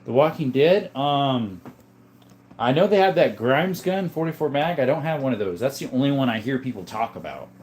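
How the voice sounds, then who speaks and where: Relaxed man chatting on a livestream. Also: medium pitch, moderate pace. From Arizona. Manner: relaxed, joking, reads comments aloud. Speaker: livestream host reading chat. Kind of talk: casual livestream chat. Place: indoors, room with guns on display.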